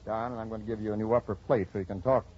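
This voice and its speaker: A man's voice: fairly low pitch, steady tone, racing. A man co-hosting a 1940s radio quiz show, bantering in a jokey, folksy way. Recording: muffled, noisy old radio broadcast with audience. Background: studio audience and noise.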